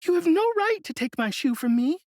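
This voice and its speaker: A woman reading a storybook aloud and voicing a proud, spirited little girl in a high, earnest voice. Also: expressive, fairly quick, darkish tone, no echo, studio quality. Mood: angry.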